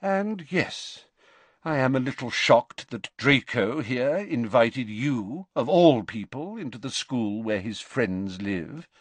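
A man voicing a wise old wizard in an audiobook, measured and polite, calm with a gently wry manner. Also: medium pitch, husky, dry recording, pitched above the performer's own voice, exaggerated voice. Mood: cool disgust.

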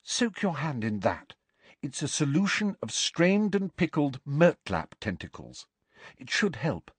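An earnest, know-it-all schoolgirl pressing her point eagerly, as a man puts her on. The voice is lifted high and swings widely, with a slightly husky texture. It is recorded close and dry. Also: big pitch swings, a little slow, no echo, full character voice. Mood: anxious. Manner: eager and insistent. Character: earnest, bossy clever teenage girl.

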